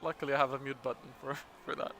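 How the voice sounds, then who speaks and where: A man's voice: medium pitch, steady tone, rapid-fire, sharp tone, a little hiss or hum. A man commentating a sim-racing livestream in casual chat, laughing often and trailing off with fillers. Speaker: race commentator.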